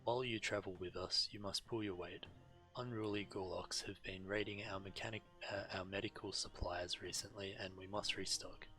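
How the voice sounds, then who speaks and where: A man's voice: deep voice, pushed and bright. A man reading game dialogue aloud on a stream, now and then stumbling over words.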